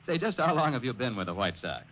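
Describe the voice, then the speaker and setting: Lively male radio ad interviewer. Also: medium pitch, fast talker, rough recording. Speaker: commercial announcer and interviewer. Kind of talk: scripted 1950s radio testimonial.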